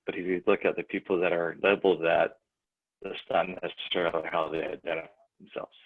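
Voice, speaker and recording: A man's voice: low voice, little pitch movement, fairly quick. A man, a church member, talking thoughtfully in a rambling way with restarts, as a guest on a video call. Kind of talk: casual chat. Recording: tinny phone-like call audio.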